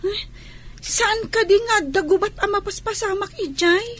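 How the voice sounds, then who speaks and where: A woman's voice: very high voice, unhurried, fairly bright tone. A woman voicing a curious, worried young child with a small thin voice in a radio drama.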